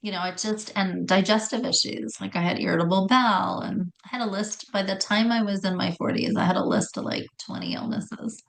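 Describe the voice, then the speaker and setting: A middle-aged woman with a raspy voice telling her personal story in conversation, often stopping to correct herself. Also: mid-range voice, fairly even intonation, a little fast, hardly any pauses, rough recording. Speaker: guest telling health story.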